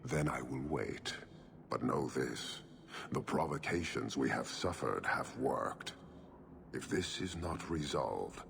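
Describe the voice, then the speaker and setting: Cold scornful giant warrior boss for a game, super deep and gravelly, very formal. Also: male voice, heavily acted. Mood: menacing. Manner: formal, terse, deliberate. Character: stern alien warrior leader, huge, contemptuous, coldly menacing. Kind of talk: video game voice acting. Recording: clearly noisy ambient background.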